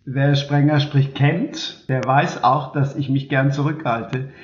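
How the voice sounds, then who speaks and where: Man, podcast host, mid-pitched voice, casual talk, echoey dull recording. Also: fairly even intonation, slow pace. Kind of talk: casual chat. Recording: roomy, dull lo-fi sound.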